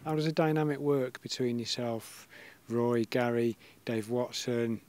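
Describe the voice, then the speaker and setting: A man's voice: medium pitch, little pitch movement, a little slow, a little mellow. A man interviewing a football coach with brief, direct questions from off camera.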